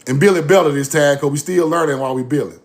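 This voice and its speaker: A man's voice: a little high, fast talker. A man giving a fiery informal lecture, blunt, repetitive and profane.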